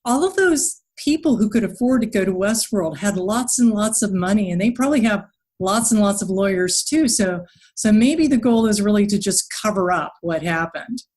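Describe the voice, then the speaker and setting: Female podcast interviewer, mid-range pitch, conversational delivery. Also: expressive, moderate pace, a little mellow.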